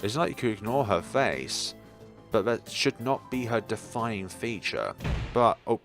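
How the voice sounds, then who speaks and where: A man's voice: on the low side, very animated, normal speed, a bit bright, faint music behind. A man hosting a let's play, reading a visual novel aloud and adding his own commentary, sometimes stumbling and correcting himself.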